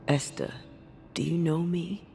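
A woman playing the brooding, resentful ghost of a young woman in a dramatic game, with breathy, measured, old-fashioned speech. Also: deep voice, steady tone, dark tone, faint music behind. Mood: guarded. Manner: measured, archaic phrasing.

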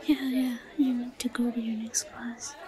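A woman's voice: fairly high pitch, fairly even intonation, normal speed, a crowd in the background, lightly acted. A woman playing a timid, lovestruck yandere schoolgirl in an audio roleplay, stammering and murmuring breathily with hesitation. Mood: shy, nervous. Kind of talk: intimate audio roleplay acting.

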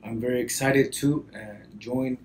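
A man in his early thirties from Costa Rica with a Spanish accent, a priest introducing himself to camera in a halting way with frequent pauses. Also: mid-range voice, steady tone. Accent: Costa Rican Spanish. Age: early 30s. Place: indoor office or library, seated at a desk. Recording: background room noise.

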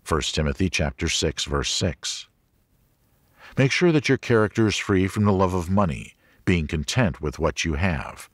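A man reading a religious audiobook as a scripted narration, in a measured, clearly enunciated manner. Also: low-pitched, animated, dry recording, clean studio sound.

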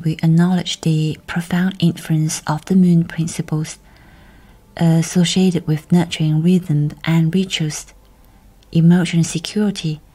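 A woman leading a guided meditation in a soft, breathy, whispery voice, with gentle, measured, soothing delivery. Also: low voice, poor audio.

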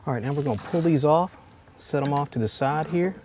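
A man in his forties hosting a home cooking show, explaining each step in a relaxed, easygoing way from his kitchen. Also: fairly high pitch, fairly quick, background noise. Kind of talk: casual cooking demonstration.

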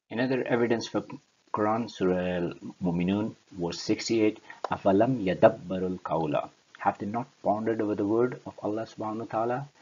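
A man giving a steady religious lesson, reciting verses and then explaining them. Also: lowish voice, husky, highs cut off. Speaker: speaker on Quran study.

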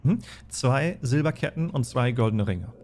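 A man's voice: medium pitch, animated, normal speed, darkish tone, a little hiss or hum. A man acting as game master, patiently explaining tabletop game rules step by step and narrating.

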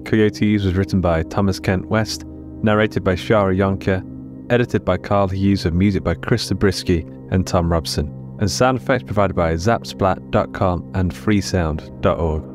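A man's voice: low-pitched, moderate pace. A man reading a scripted podcast outro with credits as the host. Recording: music behind the voice.

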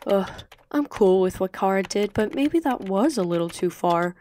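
A candid high school girl read out by a woman, self-assured and casual. She confides plainly in an audiobook recording with faint noise. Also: animated, normal speed, warm tone, natural voice. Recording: slightly noisy home recording.

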